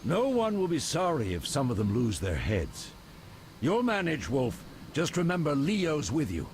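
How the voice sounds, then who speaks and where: A man playing a commanding monster hunter in a video game, brisk and directive with his comrades. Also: fairly high pitch, huge pitch swings, slight background noise. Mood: hard, assured.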